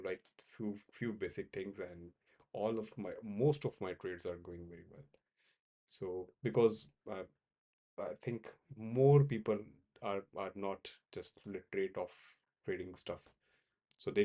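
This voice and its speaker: Man from Pakistan, low voice, halting casual livestream talk full of "uh" and repeats. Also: a little mellow. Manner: halting, many fillers and repeated words. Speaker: crypto trading livestream host. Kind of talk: casual live-stream chat answering viewers.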